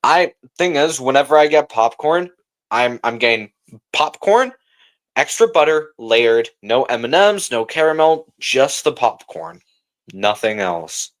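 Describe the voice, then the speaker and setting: A man's voice: medium pitch, lively intonation, measured pace, a bit bright. A man hosting a livestream, chatting casually and informally with slang as he reacts and talks to viewers. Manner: informal slangy talk, often saying bro. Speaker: livestream host.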